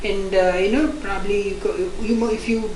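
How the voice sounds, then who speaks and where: A woman with an Indian accent teaching an online software testing course, explaining quickly and repeating points with frequent restarts. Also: mid-range voice, steady tone, slight background noise. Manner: repetitive, restarts often, fast-paced explaining. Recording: echoey room.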